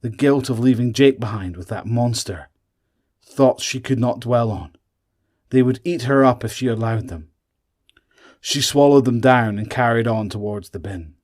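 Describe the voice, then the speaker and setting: A man's voice: medium pitch, darkish tone. A man reading scripted narration for a horror audio drama.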